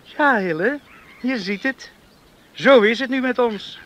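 An actor playing a headstrong, passionate young farmer's son in love, impulsive and intense, in a radio drama. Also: male voice, extremely high pitch, slow, fairly bright tone, exaggerated voice. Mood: defiant. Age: young adult. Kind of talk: Dutch radio drama acting.

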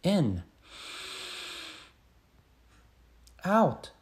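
A man reading a picture book as an excited little schoolgirl, expressive, with emphatic sound-effect words. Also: high-pitched, extremely slow, pitched above the performer's own voice, full character voice. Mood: playful, emphatic. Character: excited little schoolgirl telling her first day. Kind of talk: picture book read-aloud.

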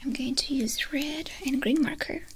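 A young woman in a gentle, breathy whisper, giving reassuring instructions as a doctor in an ASMR medical exam roleplay. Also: high voice, normal speed, poor audio. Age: young adult. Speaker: ASMR performer playing a doctor. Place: small indoor room dressed as a clinic. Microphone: close microphone in front of the speaker.

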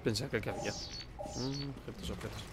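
A man's voice: medium pitch, a little fast, very noisy. A man with an accent from Spain gives casual gameplay commentary, informal and sweary, often muttering to himself. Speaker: let's play host.

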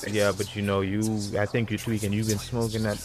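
A man's voice: lowish voice, level tone, a little fast, heavy background noise. A young man hosting an online prank channel from his bedroom, talking casually and playfully into a studio mic. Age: young adult. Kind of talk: casual video chat and announcements to viewers. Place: small bedroom. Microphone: boom-arm studio mic and headphones. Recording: music behind the voice. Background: music.

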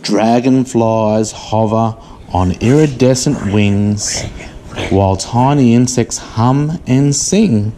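A woman reading a picture book aloud to a small child in a living room, with measured phrasing and casual questions in between. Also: a bass voice, mellow. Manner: measured storybook phrasing, asking simple questions. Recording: room noise behind a home camera recording.

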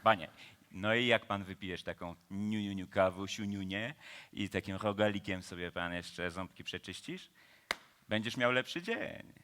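A man's voice: low voice, moderate pace, sharp tone. An actor playing a cheeky, laid-back Parisian man about town in an operetta radio play, with colloquial, teasing, theatrical phrasing. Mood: amused teasing.

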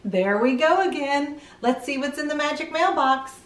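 A middle-aged woman presenting to young children in an animated way, often asking simple questions. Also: high voice, moderate pace, echoey room, distant mic, over loud music. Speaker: children's read-aloud show host.

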